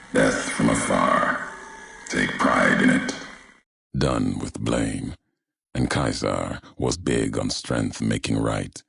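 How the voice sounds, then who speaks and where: An actor playing a grim, brooding wasteland wanderer in a video game, speaking gravely in cryptic, deliberate, clipped phrases with a gravelly voice. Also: male voice, a bass voice, very echoey, heavily acted. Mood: watchful, grave, then cold, judging. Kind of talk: video game character dialogue.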